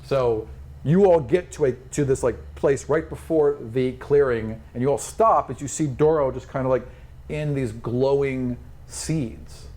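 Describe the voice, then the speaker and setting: A man's voice: medium pitch, a little slow, darkish tone. A man improvising narration as game master in a tabletop fantasy game.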